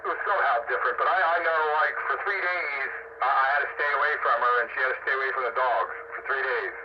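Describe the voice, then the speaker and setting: A man's voice: mid-range voice, quick pace. A man hosting a casual radio chat, talking in a rambling way with repeated words, over a radio microphone. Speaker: host of amateur radio round-up. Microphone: radio microphone at arm's length. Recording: noisy, dull two-way radio transmission.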